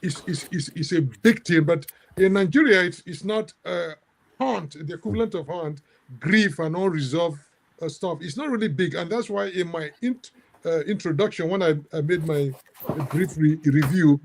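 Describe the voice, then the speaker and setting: A man moderating a book discussion in an academic way, halting and repeating words as he builds his point. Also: fairly high pitch, gravelly.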